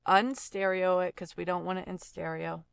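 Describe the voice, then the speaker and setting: Female tutorial host, informal and explanatory, lowish gravelly-edged voice, relaxed thinking-aloud delivery. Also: normal speed, no echo. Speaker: voice actor giving an audio editing tutorial. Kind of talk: casual screen-recorded software tutorial.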